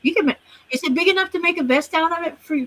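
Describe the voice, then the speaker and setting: A woman's voice: very high-pitched, fast talker, a bit bright, a little hiss or hum. A woman chatting casually and thinking aloud, with hesitant fillers as she talks. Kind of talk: casual chat.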